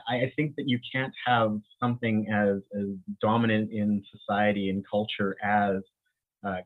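A man with a low voice talks as an infectious disease doctor in an interview, often stopping to restart his sentences and laughing lightly now and then. He grew up in the Bahamas. The sound is thin and narrow, as if coming through a phone line. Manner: halting, many restarts and repeated words; laughs often while talking. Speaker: infectious disease physician and microbiologist. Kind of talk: interview guest, conversational.

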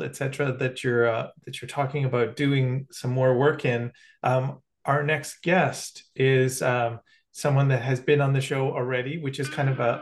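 Chatty male podcast host. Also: mid-range voice. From Canada. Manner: hesitant, many fillers. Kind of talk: casual interview chat.

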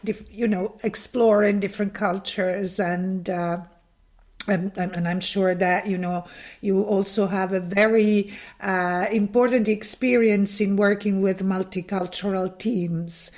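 A woman from Italy with an Italian accent, interviewing conversationally with hesitant, searching phrasing. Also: medium pitch. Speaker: interviewer, host.

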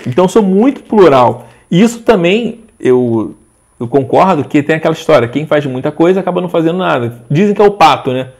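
A man in his forties, a guest in a casual interview, talking in a rambling, animated way with frequent restarts. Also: a little high, brisk. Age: 40s. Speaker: interview guest, social media page owner.

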